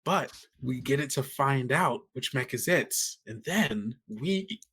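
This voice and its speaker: A woman acts out an excitable, reckless kid in a tabletop podcast. The voice is bright and swings widely in pitch, with eager, slangy, stammering delivery. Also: slow, slightly rough, exaggerated voice. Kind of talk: improvised actual-play podcast roleplay.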